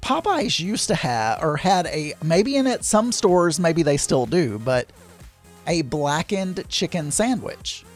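A hoarse man talks in a loose, meandering podcast chat, his voice crackly and pitched a little above the usual for a man. He wanders off on tangents and pokes fun at himself. Faint music plays behind him. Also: sing-song, takes it slowly. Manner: chatty, digressive, self-deprecating. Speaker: podcast host. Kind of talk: rambling casual chat.

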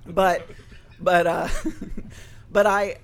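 A man in his forties with a Southern accent, hosting a casual podcast, chatty and animated, laughing often and restarting his sentences. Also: sits high, extremely slow, creaky, a little hiss or hum. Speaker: podcast host. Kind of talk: casual chat.

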